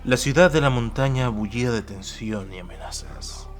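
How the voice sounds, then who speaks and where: A man narrating a war tale as a dramatic audiobook, measured, solemn and emphatic. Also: medium pitch. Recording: music behind the voice.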